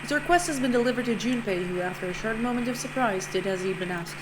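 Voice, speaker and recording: A woman streamer reading a visual novel aloud, narrating lively with playful asides and self-corrections. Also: fairly high pitch, fast. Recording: noisy home stream recording.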